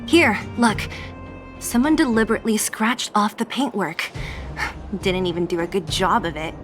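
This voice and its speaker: A woman voicing a sharp-tongued, teasing young heroine in a video game, wry and skeptical, over music. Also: medium pitch, normal speed, natural voice. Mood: curious, excited.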